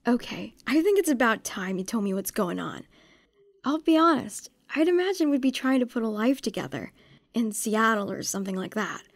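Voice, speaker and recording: An actress plays a young woman in a fan-made drama, earnest and stubborn but worn down. Her voice sits a little high with a husky grain. The sound is dry and close. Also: very animated, normal speed, dry recording. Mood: uneasy, questioning. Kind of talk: fan audio drama acting.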